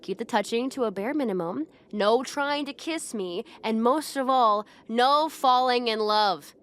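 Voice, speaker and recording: A young woman acting a proud, bossy popular high school girl who gets flustered, in a roleplay audio addressed to the listener. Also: measured pace, a bit bright. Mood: brisk, bossy. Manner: haughty, bossy, flustered hesitations.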